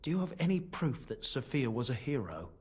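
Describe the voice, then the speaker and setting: A man's voice: medium pitch, very animated, brisk, a little mellow, breathy edge. An actor playing an earnest, deferential man of a disgraced family in a fantasy video game, hesitant and respectful. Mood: nervous, earnest. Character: deferential, earnest young nobleman defending his family name.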